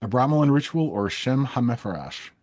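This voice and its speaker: Slightly husky, animated man hosting a quiz. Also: medium pitch, a little fast. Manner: playful, teasing. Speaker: interviewer, host. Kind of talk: rapid either-or quiz in casual chat.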